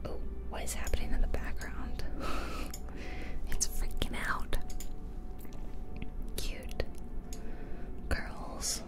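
A woman whispering in a soft, breathy voice, casually musing aloud in close-up ASMR game commentary. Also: drawn-out words, pauses often. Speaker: ASMR gaming content creator. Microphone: close microphone, ear-to-ear ASMR.

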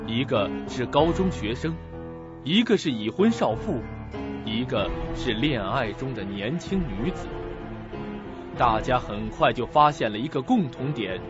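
An actor narrating a suspense mystery radio play as a dramatic storyteller. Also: male voice, medium pitch. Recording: slightly muffled audio with music.

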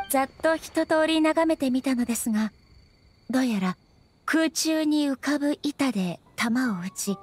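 A woman voicing a dignified, gentle female ruler in a Japanese anime game, speaking politely and formally with measured composure. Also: high-pitched, close to an everyday voice.

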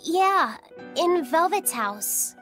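The timid child companion in a fantasy game, piping softly and earnestly over gentle game music. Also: female voice, very high voice, animated, extremely slow, full character voice. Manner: soft, hesitant, earnest. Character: gentle, shy, earnest little boy. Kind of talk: video game acting. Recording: game audio with music behind.

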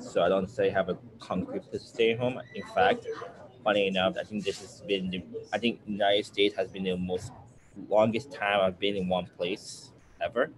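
A man in his twenties from Nigeria telling his personal story casually, rephrasing himself as he goes. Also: deep voice. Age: 20s. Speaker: university student or alum sharing his story. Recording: clearly noisy background.

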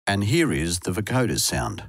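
A man's voice: sits low, sing-song, moderate pace. A man reading scripted instructional narration for a tutorial as a voice-over. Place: close, dry voice-over recording.

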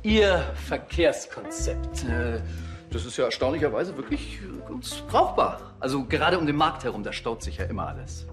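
A man's voice: medium pitch, very animated, moderate pace, music in the background, close to an everyday voice. An actor playing a jovial, self-important politician in his fifties, effusive and patronising, in a TV crime comedy. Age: 50s. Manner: effusive, smooth-talking, patronising. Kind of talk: television crime comedy acting.

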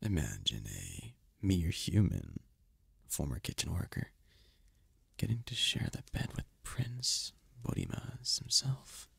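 A man voicing a seductive, possessive demon prince in an ASMR roleplay, with a husky, gravelly voice and a regal, flirtatious manner. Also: deep voice, huge pitch swings, a bit bright, no echo, full character voice. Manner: regal, slow-savouring, flirtatious.

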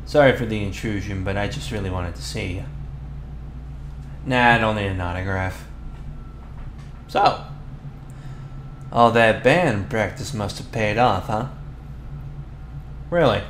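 A man playing a bossy teenage school bully with a hidden soft side, in an ASMR roleplay, brusque and given to muttering. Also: on the low side, a little slow, pauses often. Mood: teasing. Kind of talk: ASMR boyfriend roleplay acting. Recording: clear background ambience noise.

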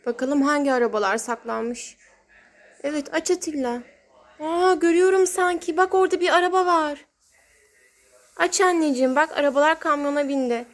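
High-pitched woman, sing-song talk to children. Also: steady tone, normal speed. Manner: sing-song, child-directed, exclaiming and drawing out words. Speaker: host, mother playing with her child. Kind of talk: toy-play narration for young children.

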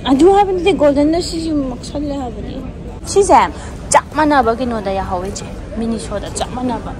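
A young woman chats fast and lightly into a handheld phone, her voice a little high. She shops and talks as she goes, with a noisy crowd and traffic noise all around her. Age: young adult. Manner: quick, chatty, laughing often. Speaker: vlogger. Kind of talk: casual chat. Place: car, then a shopping mall. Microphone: handheld phone camera. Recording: busy mall crowd and road noise.

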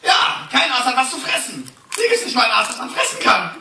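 A man's voice: extremely high pitch, huge pitch swings, a little fast, pushed and bright, full character voice. A man playing a whining, hungry hyena henchman in a live radio play, complaining and agitated. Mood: aggrieved. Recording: echoey hall, distant handheld phone, noisy.

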